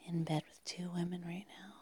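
A woman playing a seductive, insistent secret night-time lover in an intimate audio roleplay, speaking in a hushed, breathy, coaxing whisper. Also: on the low side, steady tone. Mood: teasing, seductive. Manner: coaxing, hushed, slow and pleading.

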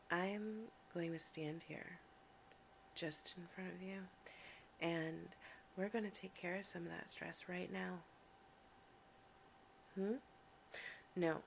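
A woman playing an affectionate but dominant partner in an intimate audio roleplay, with a creaky voice and a deliberate, controlled delivery. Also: fairly low pitch, slight background noise. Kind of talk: intimate solo audio roleplay addressed to the listener.

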